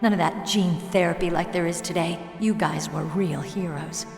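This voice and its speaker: A woman's voice: on the low side, fairly even intonation, normal speed, vocal fry, darkish tone. An actress playing a tough young female soldier in a video game, speaking in an earnest, candid, spirited way. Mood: guarded, candid. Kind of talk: dramatic video game voice acting. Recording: reverberant hall with music behind.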